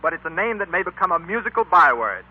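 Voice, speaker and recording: A man's voice: a little high, sing-song, fast. A man playing a formal, genial American contest host, declamatory in 1940s radio announcing style. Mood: ceremonious. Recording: muffled, hissy 1940s radio broadcast.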